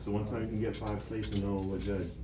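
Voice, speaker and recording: A man's voice: fairly low pitch, flat delivery, fast talker. Teasing man heard from across a lab. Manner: joking, teasing. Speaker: dental student classmate. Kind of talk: casual chat in a lab. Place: dental school lab. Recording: distant voice in a noisy lab.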